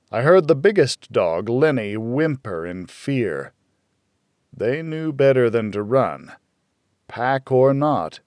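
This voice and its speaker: Slow, expressive male audiobook narrator. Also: medium pitch, clean tone, dry recording, clean studio sound. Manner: deliberate, brooding, dramatic storytelling. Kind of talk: scripted first-person thriller narration.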